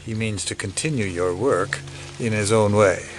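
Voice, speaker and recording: An actor playing a cynical, world-weary older conspirator, measured, fatalistic and wry, in an audio drama. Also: male voice, lowish voice, background noise, lightly acted. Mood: matter-of-fact.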